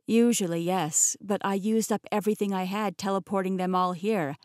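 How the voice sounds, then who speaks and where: A woman's voice: mid-range voice, fairly even intonation, moderate pace, darkish tone, dry recording, clean studio sound, natural voice. A woman voicing a tired, apologetic young man in an audiobook. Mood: rueful. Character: young man, sheepish, tired after teleporting.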